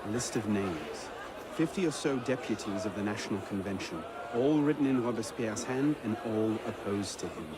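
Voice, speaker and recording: A man's voice: fairly low pitch, animated, moderate pace, heavy background noise, natural voice. A man playing a self-assured, dry-witted adventurer in a historical video game, speaking in a laconic way. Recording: crowd and music behind.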